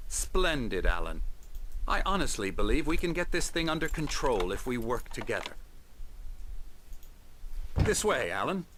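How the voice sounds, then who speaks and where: An actor playing a middle-aged psychiatrist in a video game cutscene, measured, condescending and outwardly reassuring. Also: male voice, medium pitch, very animated, background noise. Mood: genial, smug. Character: smooth, condescending male psychiatrist running a clinic.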